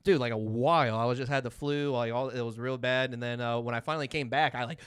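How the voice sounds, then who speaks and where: A man hosting a podcast in casual, animated chat, telling comic stories with plenty of slang and swearing. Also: mid-range voice, fast, clean tone, no room sound, studio quality. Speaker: podcast host.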